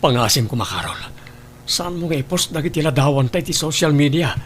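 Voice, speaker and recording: A man's voice: on the high side, lively intonation, fairly quick, music in the background, close to an everyday voice. A man with a northern Philippine accent acting an earnest, guilt-ridden husband and father in an audio drama. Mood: pleading. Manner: earnest, pleading. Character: guilt-ridden married father torn between family and mistress.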